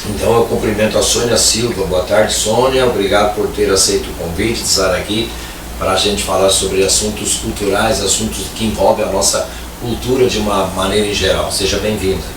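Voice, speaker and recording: A man's voice: lowish voice, steady tone, fairly quick. Man, talk show host, chatty, jokey, roomy echo, faint noise. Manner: chatty, joking, many tag questions. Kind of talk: casual talk show hosting. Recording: echoey, distant, faint noise.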